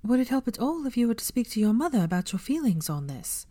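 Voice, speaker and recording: Earnest, defiant young witch for a story reading, played by a woman; highly animated intonation, close dry sound. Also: quick pace, dry recording. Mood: indignant, tentative.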